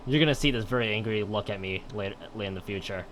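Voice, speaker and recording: A man's voice: fairly low pitch, racing, background noise. A man commentating on a game stream and reading game text aloud in a rambling way, often stumbling and correcting himself. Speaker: live streamer.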